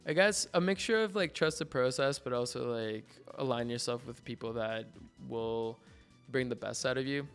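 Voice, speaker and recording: Laid-back Mexican-accented man, gravelly. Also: medium pitch, moderate pace, few pauses, soft background music. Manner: relaxed, rambling, many likes and restarts. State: hungover. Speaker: graduating art student guest. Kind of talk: casual interview chat.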